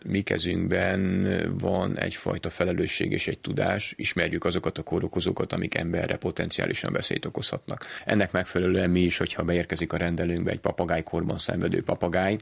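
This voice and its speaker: A man speaking as an expert veterinarian in an interview, explaining in a measured, careful, formal way. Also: deep voice, completely flat delivery, creaky, breathy edge. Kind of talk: explanatory radio interview answers.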